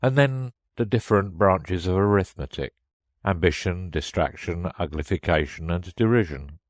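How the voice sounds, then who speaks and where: A man voicing a melancholy, easily offended turtle creature from a storybook, in a deep, hollow tone full of sighs. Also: no echo, studio quality, natural voice. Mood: sorrowful, superior. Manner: slow, mournful, sighing. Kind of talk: storybook character reading.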